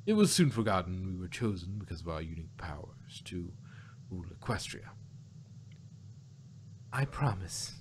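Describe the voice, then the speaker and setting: A solemn, ancient pony queen acted by a man in a low register. She tells her tale in a measured, confiding way, as in a storybook fan-fiction reading. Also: sing-song, background noise. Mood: grave, secretive.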